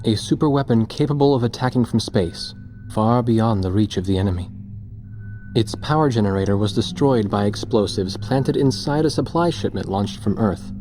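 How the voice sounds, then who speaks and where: A man's voice: fairly low pitch, normal speed, lightly acted. A man playing an earnest young war journalist in a game, narrating reflectively and asking sincere questions. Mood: reflective, somber. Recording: music behind the voice.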